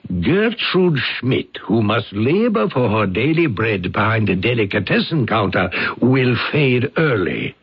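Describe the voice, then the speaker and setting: A husky, theatrical old count, wildly animated. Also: male voice, mid-range voice, a little slow, hardly any pauses, exaggerated voice. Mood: grandiose, wistful. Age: older. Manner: theatrical, florid, coaxing. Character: smooth-talking, grandiose elderly bachelor count, persuasive and paternal. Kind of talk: old-time radio drama acting. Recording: dull lo-fi radio recording.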